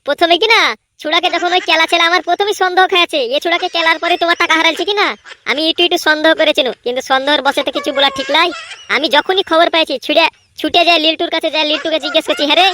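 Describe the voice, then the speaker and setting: A high, piercing cartoon voice of a cheeky young lad, put on by a woman. He rattles along quickly, brash and boastful, always ready to stir up trouble. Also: fairly even intonation, bright, forceful tone, slight background noise. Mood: eager tattling. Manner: brash, cocky. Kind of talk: cartoon voice acting.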